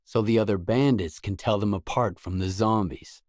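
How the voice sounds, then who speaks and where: An actor playing a weary, laconic stalker in a video game, a hardened loner with a terse, guarded, deadpan delivery. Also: male voice, fairly low pitch, moderate pace, dry recording, studio quality, close to an everyday voice. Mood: dry joke-telling. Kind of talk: isolated game dialogue lines.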